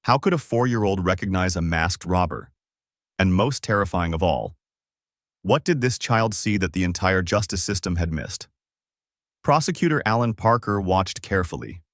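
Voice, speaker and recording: A man's voice: deep voice, lively intonation, moderate pace, no echo, studio quality. A man narrating a scripted story in a dramatic, solemn, suspenseful way.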